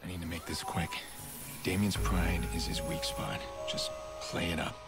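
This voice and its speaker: An American actor in a video game playing a grim, guarded vigilante hacker in his thirties, terse and low-key. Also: flat delivery, normal speed, loud music behind the voice, natural voice. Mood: brooding.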